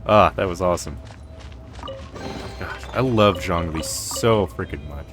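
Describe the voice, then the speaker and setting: A man with a low voice streams a game. He chats casually and rambles informally, with faint music behind him. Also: measured pace. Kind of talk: casual game commentary, chatting.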